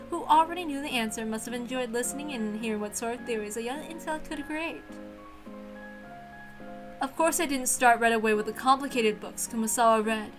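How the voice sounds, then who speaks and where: A woman voicing an earnest, soft-spoken young servant recounting memories in a visual novel read-aloud, with music behind. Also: on the high side, pitched below the performer's own voice, close to an everyday voice, rough recording.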